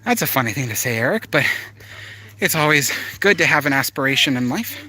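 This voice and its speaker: A man's voice: mid-range voice, very animated, brisk, pushed and bright, low-quality recording. A man from Southern California with a Southern Californian accent hosts a casual live stream outdoors in a park. He reads viewer comments aloud and answers them in an easygoing, chatty way. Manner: easygoing, chatty, with frequent fillers and restarts.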